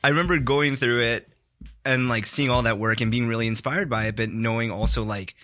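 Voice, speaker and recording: A man's voice: medium pitch, steady tone, a little fast, bright, forceful tone. A man guesting on a studio podcast, talking in a loose, reflective way and filling his sentences with "like". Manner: rambling, heavy use of "like", reflective. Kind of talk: casual studio chat.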